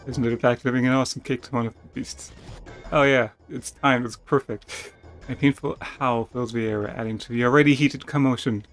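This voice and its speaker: A man's voice: medium pitch, fairly even intonation, fairly quick. A man reading a text game aloud as theatrical narration, stumbling and rereading now and then, with casual asides. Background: music.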